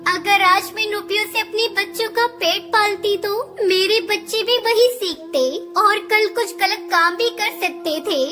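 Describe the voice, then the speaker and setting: Very high-pitched earnest mother bird played by a woman. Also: fairly even intonation, unhurried, hardly any pauses. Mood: gentle, earnest. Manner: earnest, morally firm. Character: honest, gentle blind beggar mother bird. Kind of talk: Hindi moral cartoon story acting.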